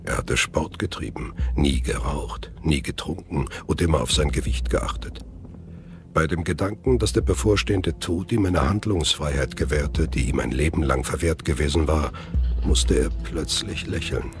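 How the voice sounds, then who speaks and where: Male narrator, extremely low voice, scripted thriller storytelling over a clear music bed. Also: moderate pace.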